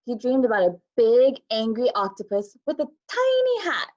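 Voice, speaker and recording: A woman's voice: on the high side, huge pitch swings, moderate pace, a little mellow. A woman teacher reading a picture book for children, recorded with dull, lo-fi sound. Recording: dull lo-fi audio.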